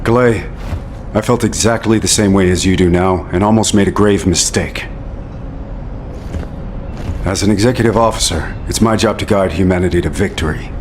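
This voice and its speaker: An actor playing an earnest male executive officer in a game cutscene, speaking in a calm, persuasive way. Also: low voice, normal speed, long pauses, slight background noise, close to an everyday voice. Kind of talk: video game cutscene acting.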